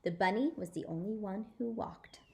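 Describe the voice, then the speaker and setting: A woman's voice: medium pitch, moderate pace, creaky, mellow, natural voice. A woman reading the part of an opinionated little girl in a storybook, earnest and matter-of-fact.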